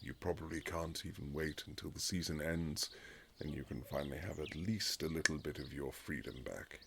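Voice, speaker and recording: Man acting a very deep, gravelly Regency husband. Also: background noise. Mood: earnest, hesitant. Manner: formal, halting, period-drama phrasing. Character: reserved formal Regency husband, awkwardly tender, softening toward his wife. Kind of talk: romantic boyfriend ASMR audio roleplay.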